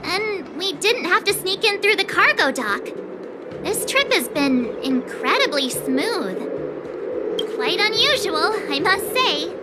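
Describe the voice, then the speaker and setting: Cheerful chatty game girl, super high bouncy voice, music behind. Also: big pitch swings, slow, heavily acted. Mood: nostalgic, chatty. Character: perky, chatty young female adventurer, cheerful and curious. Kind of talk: anime-style video game voice acting.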